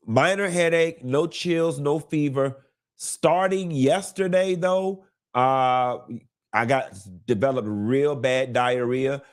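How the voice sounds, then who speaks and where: A congested man hosting a live gossip show, talking in a sassy, campy drawl, calling listeners honey and baby and swooping up high for emphasis. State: congested from a cold. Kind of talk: casual campy gossip monologue.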